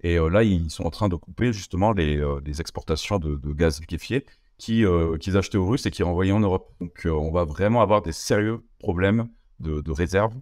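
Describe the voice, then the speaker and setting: A young man from France talking as an interview guest, casual and halting, with many fillers and restarts. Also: sits low, darkish tone, no room sound, clean studio sound.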